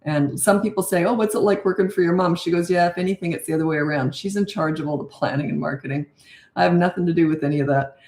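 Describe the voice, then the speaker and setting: An older woman, a parenting coach, talking blunt and chatty with a raspy voice on a live-stream Q&A. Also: fairly low pitch, quick pace, darkish tone, reverberant.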